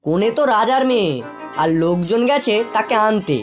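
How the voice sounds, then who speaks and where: A woman voicing a sly, greedy, quick-witted fox in an animated children's folktale, with a wheedling storybook delivery. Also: medium pitch, soft background music, close to an everyday voice. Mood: sly, coaxing.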